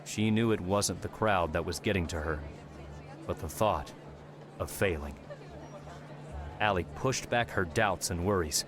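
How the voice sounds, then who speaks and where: A man narrating an audiobook in a measured, steady reading. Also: low voice. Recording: narration over background music. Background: music.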